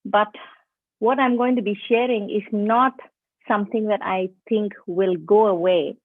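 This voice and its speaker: A woman's voice: medium pitch, steady tone, a little slow. A middle-aged woman with a mixed Indian and Indonesian accent presents to a group of teachers over a video call. She talks in a friendly way and keeps asking whether her listeners are following. The audio is narrow and phone-like, with no room echo. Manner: friendly, checks in with listeners often. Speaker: preschool teacher trainer.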